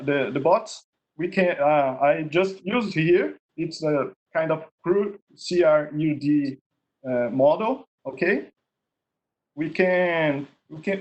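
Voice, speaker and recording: A man with a Portuguese accent, a native Portuguese speaker, walks through a software demo on a video call in a halting way. He repeats words and often ends a point with a questioning "okay?". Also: a little high, lo-fi sound. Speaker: contest winner presenting his project. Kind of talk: screen-share demo in an online meetup.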